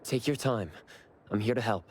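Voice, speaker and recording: An actor playing a calm, dutiful young investigator in a video game, polite, professional and reassuring. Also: male voice, lowish voice, normal speed, natural voice. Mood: calm, attentive.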